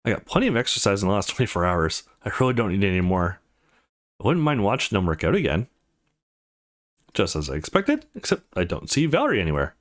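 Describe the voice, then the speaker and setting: A man's voice: on the low side, very animated, quick pace, pitched below the performer's own voice. A man voicing the self-assured male protagonist of a visual novel, reading his thoughts and lines casually and expressively. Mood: relieved, musing. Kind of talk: reading game narration and dialogue.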